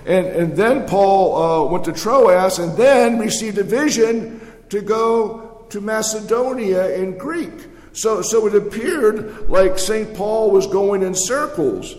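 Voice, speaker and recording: Echoey older man preaching, halting and conversational. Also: sits high, lively intonation, darkish tone. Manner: halting, conversational, repeats words. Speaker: pastor preaching. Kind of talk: sermon with folksy personal storytelling. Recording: echoey hall with long reverb.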